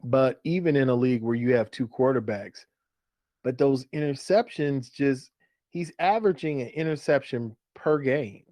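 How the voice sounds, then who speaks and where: A man with a medium-pitched voice chats as co-host of a fantasy sports show. He is conversational and opinionated, and the sound is dry, with no room echo. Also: normal speed, a little mellow. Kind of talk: casual fantasy football banter.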